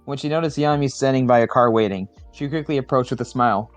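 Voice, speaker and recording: A man's voice: medium pitch, quick pace, dry recording. Man, casual amateur story narration, self-correcting, dry recording, background music. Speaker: reader of fan fiction on a livestream.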